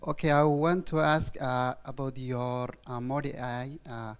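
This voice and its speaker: A young man from Somalia, asking an audience question haltingly, searching for words. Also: mid-range voice, steady tone, clean tone.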